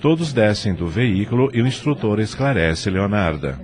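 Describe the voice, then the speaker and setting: A Brazilian man narrating a scripted audio drama in a measured, formal manner. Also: fairly low pitch, expressive, a little mellow.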